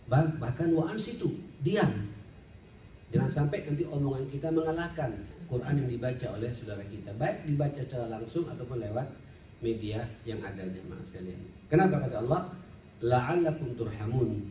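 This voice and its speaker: A man's voice: medium pitch, lively intonation, normal speed, dark tone. A man preaching as an Islamic teacher, conversational and didactic, speaking directly to his listeners. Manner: conversational and didactic, often addresses listeners directly, rhetorical questions. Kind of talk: sermon, study-circle lecture with Arabic recitation. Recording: roomy, distant mic, faint background noise.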